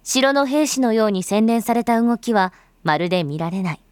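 A woman's voice: a little high, animated, normal speed, smooth, no room sound, clean studio sound, lightly acted. A woman performing as a grave storyteller, giving scripted dramatic narration in solemn, literary phrasing. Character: grave storyteller recounting a bloody uprising.

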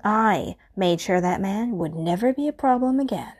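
A woman's voice: medium pitch, animated, moderate pace, a little mellow, husky. A woman voicing an obsessive, possessive stalker who kills for love, speaking intimately and often in a menacing whisper in an audio roleplay.

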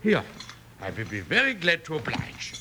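An actor playing an anxious, polite settler in a Western radio drama. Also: male voice, fairly high pitch, normal speed. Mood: apologetic, polite. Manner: nervous, deferential, excitable. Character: anxious, polite immigrant settler guarding his family. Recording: noisy old radio recording.

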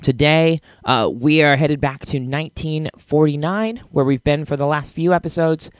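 A man's voice: on the high side, normal speed, dry recording, clean studio sound. A man introducing a podcast as its host, in a casual, partly scripted style. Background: music.

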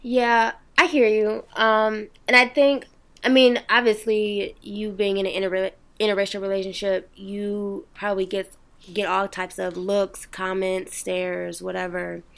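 Woman on a podcast talking slow w lots of vocal fry, casual but picking her words carefully. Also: mid-range voice, little pitch movement, fairly bright tone. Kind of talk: casual, measured discussion.

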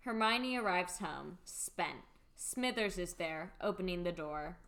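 A woman narrator reads scripted stage directions for a radio play. Her voice is bright and crisp-edged, sitting a little low for a woman. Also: slow pace.

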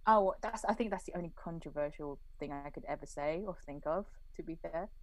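A woman's voice: low-pitched, a little fast, no echo, poor audio. A young British woman hosting a podcast over a video call, chatting casually, laughing often and acting out quoted lines. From Britain. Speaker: podcast host.

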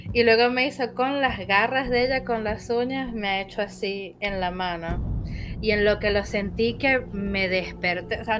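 A woman from Venezuela with a Venezuelan accent, a guest telling a paranormal story in a casual, lively way on a live stream. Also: mid-range voice. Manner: lively, laughing, animated retelling. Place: home, lives in Buenos Aires.

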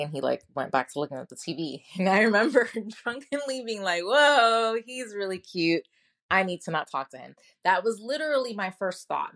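A woman with a low, full voice and a New York accent shares her personal story as a podcast host. She speaks candidly and casually, often stopping on fillers like "like" and "um", and she laughs at herself now and then.

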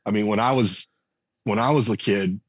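Deep husky guy rambling thru an interview answer, lots of restarts, dry mic. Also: moderate pace, no room sound. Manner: rambling, many restarts and fillers. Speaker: game writer and designer guest. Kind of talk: conversational podcast interview.

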